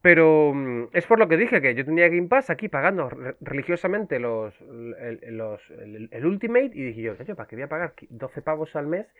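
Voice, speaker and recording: A man's voice: on the high side, sing-song, moderate pace, hardly any pauses. A man from the Canary Islands with a hybrid Canarian and peninsular Spanish accent, chatting casually and colloquially as a podcast guest through a thin, phone-like connection. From Canary Islands, Spain. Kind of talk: casual chat, colloquial slang. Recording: thin phone-like band-limited sound.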